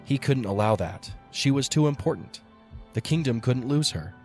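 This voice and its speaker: A man's voice: mid-range voice, expressive, moderate pace, darkish tone. A man narrating a dramatic story as a scripted audio drama narrator. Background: music behind the voice.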